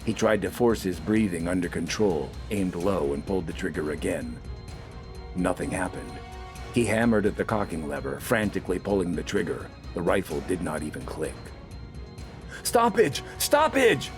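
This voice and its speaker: A male audiobook narrator with a fairly low voice. He reads scripted dramatic fiction, with faint music playing underneath. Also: normal speed, darkish tone.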